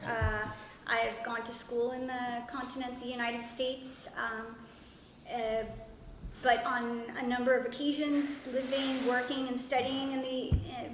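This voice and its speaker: A woman whose first language is Spanish, talking through her background in a relaxed roundtable discussion, thoughtful and halting with frequent fillers. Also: high-pitched, a little hiss or hum. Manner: reflective, halting with many fillers. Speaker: discussion participant. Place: room with a table, group seated. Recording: echoey room with long reverb.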